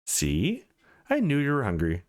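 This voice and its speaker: A man's voice: mid-range voice, huge pitch swings, measured pace, no echo, studio quality, pitched above the performer's own voice. A man voicing a blunt, grumbling young schoolgirl in a visual novel, plain-spoken and complaining. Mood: blunt, caring.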